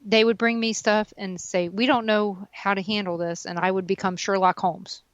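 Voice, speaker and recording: A woman's voice: medium pitch, flat delivery, normal speed, no room sound, muffled recording. A woman in her mid-fifties, talkative and self-deprecating, chatting casually as a radio co-host. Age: 50s. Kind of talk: casual chat.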